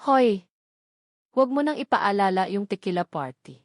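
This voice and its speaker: A woman's voice: medium pitch, expressive, normal speed, no echo, studio quality, close to an everyday voice. A woman with a Filipino accent voicing a loving young wife and princess, affectionate and playful, in an audiobook romance. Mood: playful protest.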